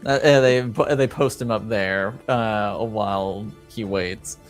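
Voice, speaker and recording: Male game master, fairly low pitch, casual improvised narration, faint music bed. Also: slow pace.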